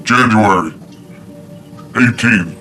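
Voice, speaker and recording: Grim, slow-spoken low-voiced agent. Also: male voice, sharp tone, soft background music. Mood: grim, subdued. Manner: measured, grave, clipped log entries. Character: grim, troubled male agent recording a log. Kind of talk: scripted game narration.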